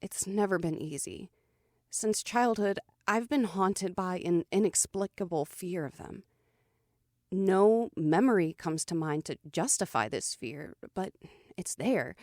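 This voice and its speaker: A woman playing an anxious, grieving daughter who narrates her own thriller in a somber, measured, introspective way. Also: lowish voice, expressive, darkish tone, no room sound, studio quality. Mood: nervous. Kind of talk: dramatic first-person audio drama narration.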